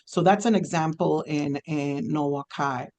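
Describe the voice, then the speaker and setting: A man's voice: a little high, unhurried, darkish tone. A man answering questions at a school committee meeting, hesitant and thinking aloud with many fillers. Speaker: district multilingual program staff.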